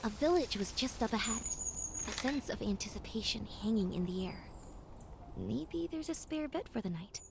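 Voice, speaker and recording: A woman's voice: on the high side, expressive, moderate pace, gravelly, noisy background. A woman narrating a scripted first-person story as a young woman recalling her journey away from home. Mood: reflective. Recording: music under the voice. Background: game music.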